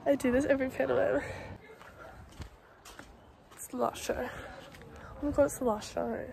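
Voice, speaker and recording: High-voiced young woman vlogging. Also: fairly even intonation, moderate pace, creaky, noisy background. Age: young adult. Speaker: vlogger and pantomime performer. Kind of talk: casual backstage vlog chat. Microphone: phone at arm's length. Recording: phone video with loud crowd and music behind.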